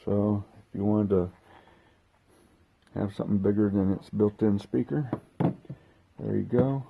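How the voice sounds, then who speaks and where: Guy doing a casual unboxing, husky lowish voice, thinks out loud as he goes. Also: flat delivery, phone-quality sound. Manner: halting, thinking aloud while handling gear. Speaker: host of a hobby unboxing video. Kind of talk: casual unscripted product walkthrough.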